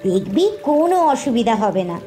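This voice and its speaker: Casting: firm, teaching mother duck, high and animated, with soft music behind. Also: moderate pace. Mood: instructive. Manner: firm, instructive, scolding. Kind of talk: cartoon voice acting.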